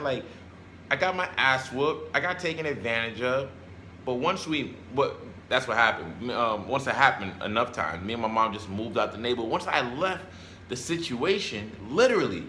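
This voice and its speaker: A man's voice: mid-range voice, bright, forceful tone. Emphatic man rambling motivationally, noisy background. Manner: emphatic, repeats phrases for stress; blunt, casual, with swearing. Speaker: self-help vlogger. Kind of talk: rambling motivational talk to camera.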